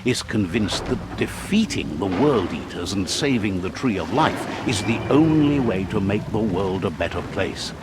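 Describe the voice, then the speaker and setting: An actor playing a whimsical, wise storyteller who narrates an animal fable in a video game with theatrical, philosophical delivery. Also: male voice, lowish voice, expressive, a little slow, hardly any pauses, very noisy. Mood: wry, solemn.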